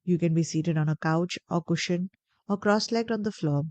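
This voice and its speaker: Low, mellow woman guiding meditation. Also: no room sound. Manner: gentle, deliberate, soothing phrasing with pauses. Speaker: meditation guide. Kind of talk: guided meditation narration.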